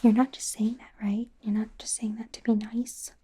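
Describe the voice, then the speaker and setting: A woman voicing a nervous, gentle female werewolf doctor in a soft, breathy whisper, hesitant and reassuring, for an ASMR exam roleplay. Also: fairly high pitch, level tone, moderate pace, slight background noise, close to an everyday voice. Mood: sheepish, insecure. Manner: hesitant, self-correcting, giggly, reassuring.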